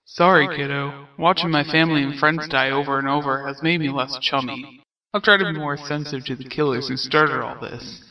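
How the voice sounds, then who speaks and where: A man voicing a world-weary, sardonic skeleton brother in a comic dub, with a hesitant, deadpan drawl. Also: mid-range voice, a little fast, recorded from a distance, dull audio, lightly acted. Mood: sarcastic. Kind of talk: comic dub character acting.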